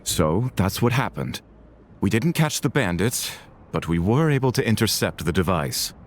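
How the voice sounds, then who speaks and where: Devout game guard, kinda low voice, formal, emphatic, very animated. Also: male voice, normal speed, soft background music. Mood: recounting. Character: earnest, indignant devout guardsman of a moon order. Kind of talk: video game cutscene acting.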